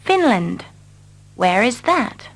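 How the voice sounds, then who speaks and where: Woman, language lesson reader, slow pace, slightly high voice. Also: sing-song. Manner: carefully repeats each line. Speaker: English teacher. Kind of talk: slow scripted reading for learners.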